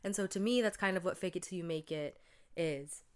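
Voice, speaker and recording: A woman's voice: lowish voice, a little fast, darkish tone. Stuffy-nosed young woman chatting casually. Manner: chatty, lots of "like" and "um". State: stuffed up from a cold. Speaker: podcast host. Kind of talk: casual solo podcast monologue.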